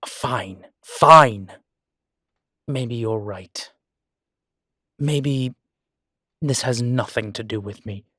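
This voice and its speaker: A man playing a guilt-ridden, centuries-old vampire in an audio drama, speaking gravely and formally with weary, deliberate pauses. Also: medium pitch, no room sound, studio quality. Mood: defensive, frustrated. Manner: slow, grave, deliberate phrasing with long pauses. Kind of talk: dramatic audio roleplay acting.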